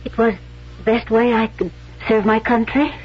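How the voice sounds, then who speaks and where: Proud stage actress turned spy, high husky voice, theatrical, dignified, vintage radio drama, muffled recording. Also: female voice, steady tone, normal speed. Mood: resigned, dignified. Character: poised, proud actress accused of being a spy. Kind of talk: 1970s radio drama acting.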